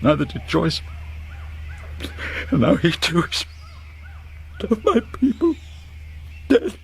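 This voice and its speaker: A British actor playing a grieving, remorseful gentleman squire in a radio drama. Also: a little high, huge pitch swings, slow, creaky, a little hiss or hum, exaggerated voice. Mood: grief-stricken remorse.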